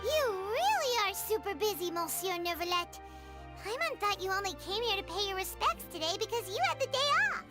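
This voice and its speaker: A woman voicing a small, childlike fairy companion in a video game, squeaky, cutesy and full of exclamation. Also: lively intonation, fairly quick. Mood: chatty. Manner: cutesy, refers to self in third person, exclaiming. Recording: music behind the voice.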